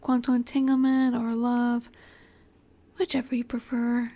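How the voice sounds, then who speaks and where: Immortal vampire heiress , played by a woman for romance audio drama: soft, dark-toned, very slow, elegant, wistful, quietly possessive and commanding. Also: fairly high pitch, monotone. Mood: wistful, dreamy. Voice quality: soft, breathy, often near-whispered. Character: ageless female vampire boss's daughter, wistful, tender, possessive. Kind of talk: intimate romantic audio roleplay.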